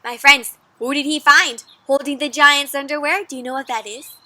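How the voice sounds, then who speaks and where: A woman with a high, bright voice reads a storybook aloud to children. She is animated and encouraging, stopping often to ask her young listeners questions. The delivery is that of a friendly read-aloud host. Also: normal speed, no room sound. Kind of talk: interactive read-aloud for kids.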